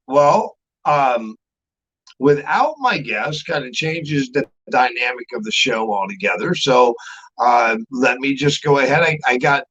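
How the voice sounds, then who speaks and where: A man hosting a casual live show, talking informally and haltingly. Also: medium pitch, fairly bright tone, breathy edge. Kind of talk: casual livestream interview. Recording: dull, muffled livestream audio.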